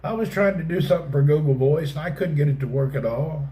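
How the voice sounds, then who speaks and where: A man's voice: on the high side, fast talker, mellow. A middle-aged man with a Southern American accent, chatting casually with viewers on a live stream in a folksy, joking way. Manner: folksy, joking, reads chat aloud, swears casually. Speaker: livestream host.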